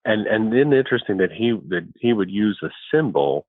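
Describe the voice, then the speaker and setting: A very low-voiced man talks thoughtfully as an interview guest. He often repeats a word or two before a thought settles. His voice comes through a thin, phone-like line with no room around it. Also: expressive, normal speed, no echo. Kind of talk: relaxed conversational interview. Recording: tinny phone-like line, dry.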